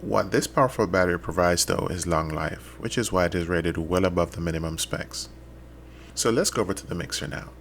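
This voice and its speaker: A man's voice: low voice, brisk, gravelly, slight background noise. A man giving a scripted tutorial narration in a methodical, explanatory way. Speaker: instructor and DJ.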